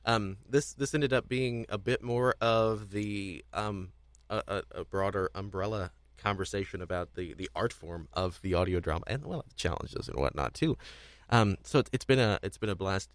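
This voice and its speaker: A young man with a fairly low voice hosts a casual audio discussion. He talks in a halting, conversational way, doubling back on words. The recording is dry, with hardly any room sound. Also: dry recording. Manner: halting, repeats words, agreeable interjections. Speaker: podcast host.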